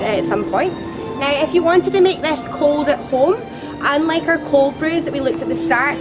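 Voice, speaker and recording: A young woman guiding a tour group outdoors in a garden, with a loud, lively and chatty way of talking and playful jokes. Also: sits high. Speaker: tour guide. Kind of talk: guided tour talk to a group. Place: outdoor garden at a theme park. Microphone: camera a few steps away, no microphone.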